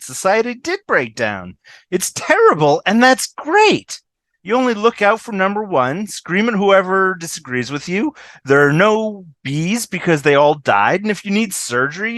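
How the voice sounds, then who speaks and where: A man's voice: high voice, sharp tone, no room sound, full character voice. A man in an amateur table read over a video call, playing a brash, selfish, sarcastic woman with snarky emphasis.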